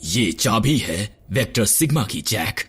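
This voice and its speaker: A man voicing a gruff older male robot medic in a cartoon dub, serious as he explains. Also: fairly low pitch, sing-song, a little slow, a bit bright, exaggerated voice.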